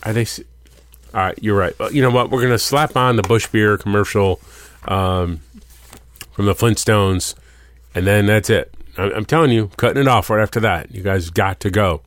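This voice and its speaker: A man's voice: on the low side, normal speed, no room sound. A man, hosting and signing off a podcast, rambling and joking as he goes. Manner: rambling, self-interrupting, jokey. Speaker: podcast host. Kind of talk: casual podcast sign-off.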